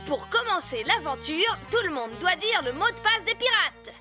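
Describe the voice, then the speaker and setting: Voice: very high voice, sing-song, moderate pace, bright, forceful tone, full character voice. A performer voicing a plucky, friendly young boy pirate leader in a children's cartoon, eager and adventurous. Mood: curious, adventurous. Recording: music bed behind.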